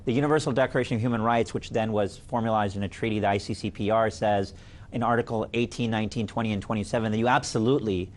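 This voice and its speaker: A man's voice: fairly low pitch, fairly even intonation, quick pace. A man speaking as an expert panelist, explaining in articulate, formal, lawyerly wording. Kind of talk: explanatory discussion.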